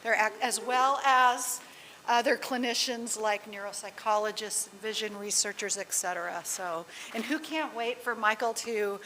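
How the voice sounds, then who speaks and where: Woman moderator, higher pitch, loud and excitable, echoey hall with faint noise. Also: normal speed. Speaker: session moderator. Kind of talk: live event moderating.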